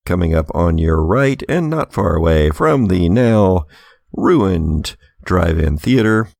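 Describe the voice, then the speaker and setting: Male game master, very low and creaky, wry improvised horror narration. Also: darkish tone, no room sound, clean studio sound.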